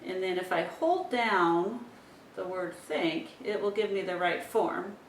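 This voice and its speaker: A woman instructor talking parents through a communication-device demonstration, explaining each step aloud as she goes. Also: measured pace. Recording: roomy echo, distant mic, rumbly noise.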